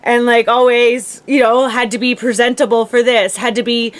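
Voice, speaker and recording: A woman's voice: fairly high pitch, level tone, moderate pace. A woman in her late thirties co-hosting a podcast, chatting candidly and laughing often. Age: 30s. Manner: giggly, candid. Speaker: podcast co-host. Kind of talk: casual chat.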